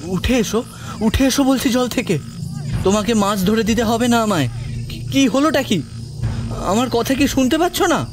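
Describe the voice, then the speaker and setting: A man playing a frightened, curious young grandson in an audio drama, halting and repeating his words with urgency. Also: extremely high pitch, expressive, music in the background. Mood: frightened, urgent.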